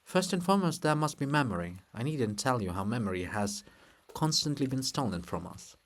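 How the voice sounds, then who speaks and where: Seeking a male reader for a bombastic monument of a bearded historian in a stage farce: scornful, emphatic, very animated pitch. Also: fairly quick, close to an everyday voice. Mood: solemn.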